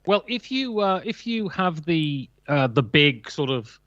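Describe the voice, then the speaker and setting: A man's voice: fairly high pitch, very animated, unhurried, fairly bright tone, no echo. A man chatting casually and emphatically about board games as a guest, sometimes jokey, on slightly muffled audio. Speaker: guest on a board game list show. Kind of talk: casual opinionated chat.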